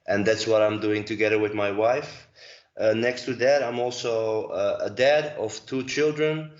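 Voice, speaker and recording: A Dutch-accented man in his forties talks through a dull, lo-fi call from a roomy, echoing space at home. His voice sits fairly low, and he thinks aloud with many ums and restarts. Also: unhurried. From Netherlands. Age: 40s. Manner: hesitant, many fillers and restarts. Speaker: guest, dancer and choreographer. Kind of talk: casual interview conversation.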